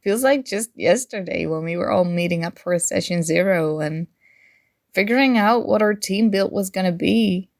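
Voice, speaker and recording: A woman's voice: mid-range voice, moderate pace, vocal fry, natural voice. A woman playing a playful, nerdy young gamer chatting with her crush in a casual, teasing ASMR roleplay. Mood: friendly, chatty. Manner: teasing, animated, conversational. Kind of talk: ASMR roleplay acting, casual conversational chat.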